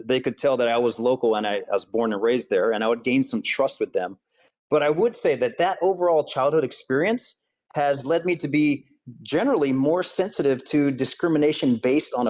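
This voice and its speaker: A man from Hawaii speaks as an author and school counselor being interviewed. He explains his ideas earnestly and steadily, at a middle pitch, and keeps his delivery even. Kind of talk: interview answers.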